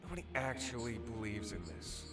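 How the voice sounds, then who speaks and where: A jaded young male superhero, acted by a man for a visual novel, grumbles in a middling register. His delivery is dry and sarcastic, that of a reluctant hero who sneers at the job. Music plays loudly behind him. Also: mid-range voice, sing-song, a little fast, lightly acted. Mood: jaded, bitter.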